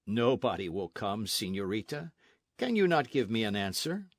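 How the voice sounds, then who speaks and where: A man's voice: on the low side, very animated, normal speed, no room sound, studio quality. A man voicing an arrogant Spanish colonial army captain in a storybook, pompous, sneering and insistent. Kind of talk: storybook narration with character voices.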